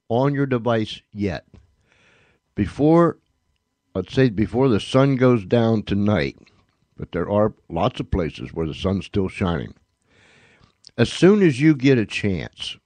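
A man speaking as a podcast host, making an unscripted announcement with emphatic repetition and deliberate pauses. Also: lowish voice, darkish tone, no room sound.

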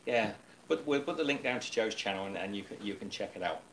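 A man's voice: on the low side, lively intonation, fast, fairly bright tone, slight background noise, rough recording. A middle-aged man hosting a casual live stream from his home kitchen, chatty and rambling. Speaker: host. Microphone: webcam on table.

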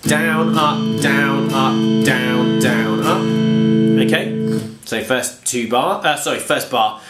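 A man's voice: medium pitch, slow. A man teaching guitar at home in a chatty, self-deprecating way, congested from a cold and counting strums aloud. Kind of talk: casual guitar lesson. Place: home room with bookshelves. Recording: echoey room with guitar playing.

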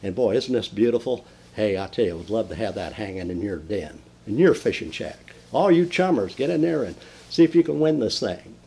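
An older man hosting a folksy fishing report from a den, talking with lively enthusiasm and frequent fillers and restarts. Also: on the low side, brisk, creaky, a little hiss or hum. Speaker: fishing show host. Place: indoor den with mounted fish on the wall.

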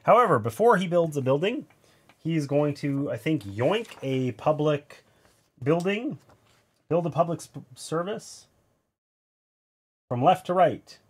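A man's voice: on the high side, big pitch swings, a little slow, long pauses, breathy edge. Nasal man thinking aloud over a board game. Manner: thinks aloud, explaining each move with wry asides. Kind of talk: casual play-through commentary. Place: indoors at a gaming table.

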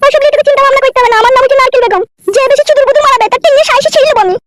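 A woman's voice: very high-pitched, rapid-fire. A woman voicing a brash, scheming village woman in a comedy cartoon, gossipy. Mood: reassuring, brash. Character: brash, scheming village women chatting conspiratorially. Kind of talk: amateur comedy cartoon dialogue.

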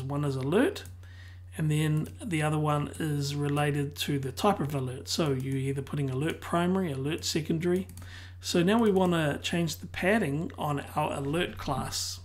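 Hoarse tutor walking thru a screen recording, slow and calm, clearing throat a lot. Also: mid-range voice. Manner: calm step-by-step explaining. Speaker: web design tutor.

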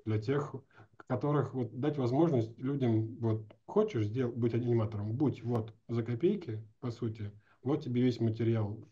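Guy in his 40s, really dark mellow voice, slangy casual interview chat, bit lo-fi video call. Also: medium pitch, normal speed, breathy edge. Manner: informal, slangy, thinking aloud. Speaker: animation teacher. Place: indoors at home or office, on a video call. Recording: dull lo-fi video call audio.

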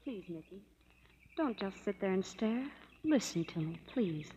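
A woman playing a lively young woman, old radio. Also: medium pitch, a little slow, a little hiss or hum, natural voice. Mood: pleading. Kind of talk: 1930s radio drama acting. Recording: muffled old radio broadcast in a hall.

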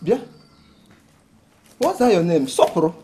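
A woman's voice: fairly low pitch, very animated, slow pace, mellow, husky, full character voice. An actress with a Nigerian accent playing an indignant, scornful officer in a comedy-drama, scolding and repeating words as she stammers. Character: indignant, scornful government officer in compound quarrel. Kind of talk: screen comedy-drama acting.

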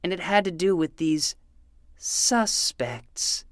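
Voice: mid-range voice, expressive, slow, no echo, clean studio sound. An actor playing an earnest twelve-year-old boy who talks like a hard-boiled private eye in a fiction podcast. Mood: brooding, self-serious. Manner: self-serious detective patter. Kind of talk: fiction podcast acting with noir narration.

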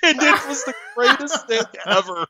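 A man with a loud voice telling anecdotes in casual, excitable chat as a podcast guest. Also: very high voice, moderate pace, husky. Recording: dull, lo-fi microphone.

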